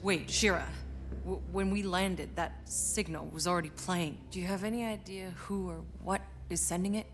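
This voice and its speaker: A woman's voice: on the low side, fairly even intonation, moderate pace, hardly any pauses, natural voice. A woman playing a tough, cynical young soldier in a video game, with a blunt, wry delivery. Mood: questioning. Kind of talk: naturalistic video game cutscene acting. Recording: long reverb, music behind.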